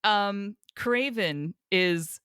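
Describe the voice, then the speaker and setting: A woman's voice: medium pitch, extremely slow, fairly bright tone, smooth, dry recording. A woman co-hosts a casual podcast with dry, playful humor and a wry way of arguing a point. Her voice sits in a middle register. The sound is close and dry. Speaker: podcast co-host. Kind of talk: casual chat.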